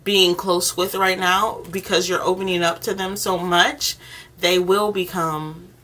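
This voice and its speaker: Bright-voiced woman giving a chatty tarot reading. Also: fairly low pitch, flat delivery, unhurried. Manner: informal, chatty, encouraging. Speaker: tarot reader, channel host. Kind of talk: role-play tarot reading addressed one-to-one to the viewer.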